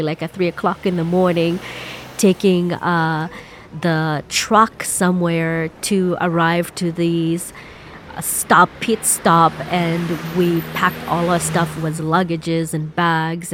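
A woman from Mozambique telling her life story in an interview, reflective and unhurried, often saying "you know.". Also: fairly low pitch, no echo, studio quality. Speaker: interview guest.

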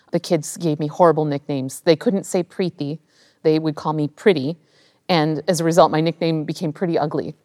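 A woman's voice: low voice, darkish tone, no room sound, clean studio sound. A woman, thoughtfully telling her life story in an interview. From United States (California). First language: raised bilingual in an Indian immigrant family. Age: 50s. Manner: reflective, candid, unhurried. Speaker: interview guest, opera singer.

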